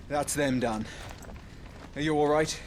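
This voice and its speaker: An actor playing a loyal, alert knight in a video game, brisk, soldierly and respectful, with music behind him. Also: male voice, mid-range voice, background noise. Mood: concerned. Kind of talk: dramatic video game acting.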